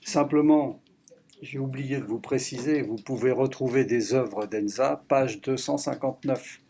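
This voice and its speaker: Man hosting, medium pitch, faint noise. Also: measured pace, mellow. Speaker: event host. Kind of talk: informal interjection to an audience.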